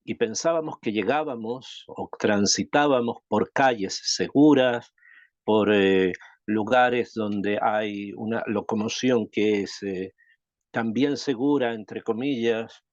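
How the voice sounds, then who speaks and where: A man from Chile, a rabbi teaching a Torah class, reflective and thoughtful, asking rhetorical questions and pausing to think. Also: on the low side, no echo, highs cut off. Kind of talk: Torah lesson.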